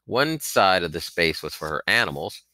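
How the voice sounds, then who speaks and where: A man's voice: sits low, very animated, normal speed, bright, forceful tone, dry recording. A man reading a novel aloud as scripted audiobook narration, acting out its characters. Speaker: reader of a children's novel.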